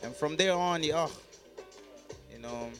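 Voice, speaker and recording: A man's voice: on the high side, takes it slowly, music in the background. A man of twenty-six from Congo, raised across Africa, chatting casually as an interview guest with slangy, repeated tag phrases. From Congo, grew up across Africa. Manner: slangy, frequent "you know what I mean" tags. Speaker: podcast guest, musical artist.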